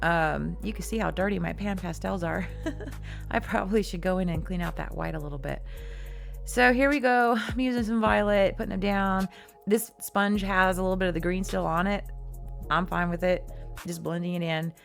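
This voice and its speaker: A woman giving a casual art tutorial, chatty and rambling with many ums and restarts, her voice hoarse and worn. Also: lowish voice, moderate pace, soft background music. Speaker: art teacher.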